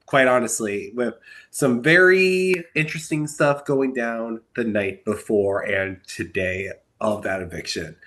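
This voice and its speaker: Young guy with a head cold, stuffy nose, rambly podcast recap, gets worked up. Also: mid-range voice. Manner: rambling, animated, exasperated asides. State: congested from a cold. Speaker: podcast host. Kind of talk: solo recap commentary.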